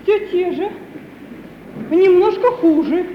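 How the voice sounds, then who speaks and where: A woman's voice: very high-pitched, slow. An actress playing a tender, wistful young woman in love, coaxing and emotional, in an old radio play. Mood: earnest. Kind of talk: 1950 Russian radio play acting. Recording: muffled, hissy 1950s radio recording.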